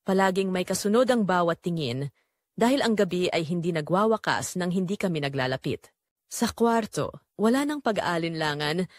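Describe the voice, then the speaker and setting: Lively Filipino woman narrating a dramatic story. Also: medium pitch, no echo, clean studio sound. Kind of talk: dramatic scripted first-person story narration.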